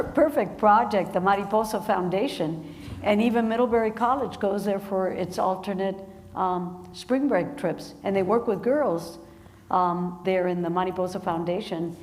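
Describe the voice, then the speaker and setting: A woman author talking with an audience at a book event. She laughs often and speaks in halting restarts. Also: mid-range voice, a little mellow. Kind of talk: conversation at a public book event. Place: large hall with an audience. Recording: echoey hall with faint noise.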